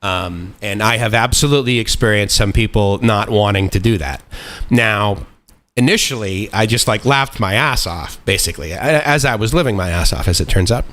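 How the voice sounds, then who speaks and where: A man's voice: fairly low pitch, moderate pace, a bit bright. A man in his forties hosting a live stream, talking in a loose, rambling, self-deprecating way with lots of fillers and chuckles. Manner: loose, meandering with many fillers. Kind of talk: casual live-stream monologue.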